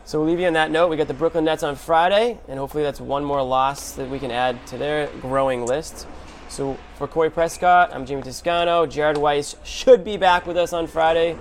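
A man's voice: on the high side, steady tone, brisk. A man hosting a sports show, giving informal, slangy basketball commentary in a conversational way. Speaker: sports podcast host. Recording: clear background noise and hum.

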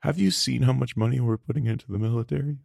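A man's voice: mid-range voice, fairly even intonation, fast, vocal fry, a little mellow, dry recording, clean studio sound. A man co-hosting a comedy podcast, debating casually in a wry, skeptical way. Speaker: podcast co-host.

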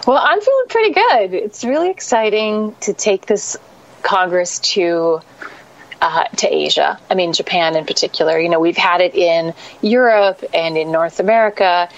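Enthusiastic woman guest, lo-fi sound. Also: lowish voice. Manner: enthusiastic, fluent. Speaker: executive director, guest. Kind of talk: interview.

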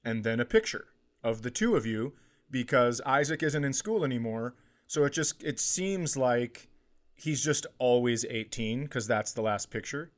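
A middle-aged American man in casual comedic conversation, telling stories in an animated way and acting out what others say. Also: mid-range voice, measured pace. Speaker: comedy podcast host.

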